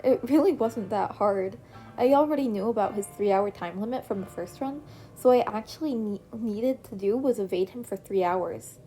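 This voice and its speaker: Cocky young vigilante guy, woman reading him, breezy and sure of himself, mellow tone. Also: mid-range voice, normal speed, faint music behind, natural voice. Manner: breezy, self-assured. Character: cocky young man vigilante turned hero.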